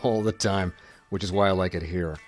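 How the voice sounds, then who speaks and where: A man's voice: low-pitched, steady tone, fairly quick, soft background music, lightly acted. A man playing an easygoing, dry-witted, laconic small-town sheriff in a radio drama. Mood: wry, flirtatious. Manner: laconic, wry.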